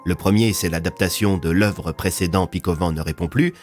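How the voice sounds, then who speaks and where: Deep-voiced male storyteller with light music. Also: fairly even intonation, moderate pace. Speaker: author and narrator. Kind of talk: scripted narration of audio fiction.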